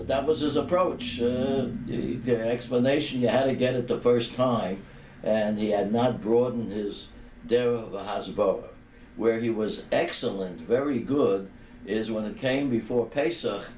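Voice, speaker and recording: A man over eighty, a rabbi reminiscing in a deliberate, halting way with pauses between phrases. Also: medium pitch, a little mellow. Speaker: rabbi giving a personal remembrance. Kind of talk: unhurried reminiscing tribute talk. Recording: roomy, distant microphone, background noise.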